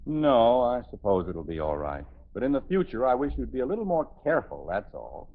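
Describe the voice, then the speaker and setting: A man's voice: mid-range voice, sing-song, brisk. An actor playing an exasperated, dryly sarcastic middle-aged suburban father in a 1950s radio sitcom. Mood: weary, irritated. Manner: dry, weary sarcasm with bursts of irritation. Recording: thin, lo-fi 1950s radio recording.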